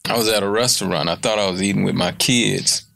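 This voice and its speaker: A middle-aged man chatting casually as a guest, laid-back and unhurried, often checking in with the listener. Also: lowish voice, creaky, bright, forceful tone, dry recording, studio quality. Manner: laid-back, repeats "you know what I mean". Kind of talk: casual chat.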